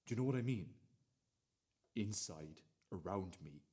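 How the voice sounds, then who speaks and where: A man playing an intense, tormented character confessing sudden anguish in slow, brooding fragments for a radio play. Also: low-pitched, animated, a little mellow, slightly rough, exaggerated voice.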